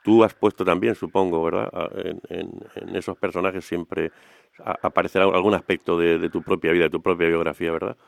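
A man's voice: low voice, steady tone, fairly quick, vocal fry, dry recording. A man hosting an interview, asking careful questions and murmuring brief agreement while his guest speaks. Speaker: podcast interviewer. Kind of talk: radio-style interview.

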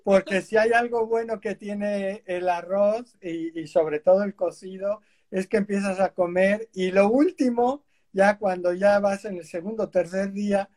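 A man hosting a radio chat in a studio, with a voice pitched a little high for a man. He rambles along easily, fills pauses with "eh" and breaks into laughter often. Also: steady tone, darkish tone. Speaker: radio host. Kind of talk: casual radio interview. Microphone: studio microphone.

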